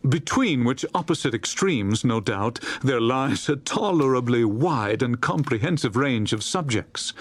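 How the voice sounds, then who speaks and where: A man narrating a classic story as a dramatized audiobook, in a theatrical, expressive storytelling manner. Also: a little high, no room sound. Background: music.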